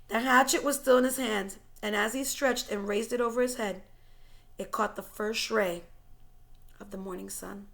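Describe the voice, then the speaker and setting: A woman reading a story aloud in an expressive, dramatic way, stressing key words and sometimes dropping to a hush. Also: high-pitched, vocal fry. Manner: dramatic, emphatic, dropping to hushed tones. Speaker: teacher reading a book aloud.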